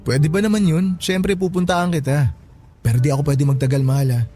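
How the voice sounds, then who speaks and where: An actor playing a cheating young husband in a radio drama, a smooth-talking and secretive lover. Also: male voice, a little high, steady tone, fairly quick, dark tone, soft background music, lightly acted. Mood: fond, hushed. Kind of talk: Filipino radio drama acting.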